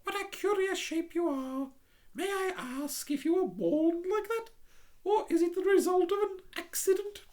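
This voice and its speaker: High squeaky storybook duck, sing-song and lively, loud and bright. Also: unhurried. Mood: curious. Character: shrill fussy female duck, peaceful and chatty. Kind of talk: storybook reading.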